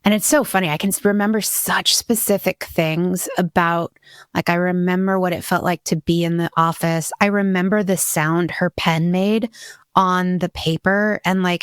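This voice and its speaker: Reflective woman, low-pitched, dry sound. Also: smooth, no room sound. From Hawaii. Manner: reflective, many restarts and "you know". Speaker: podcast guest. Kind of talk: candid conversational storytelling.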